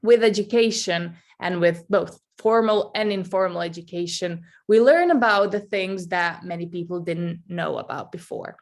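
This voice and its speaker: A woman's voice: on the low side, moderate pace, few pauses. A nineteen-year-old woman from Serbia, giving an informal personal talk as a youth advocate in a conversational, self-correcting way. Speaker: youth advocate and student speaker.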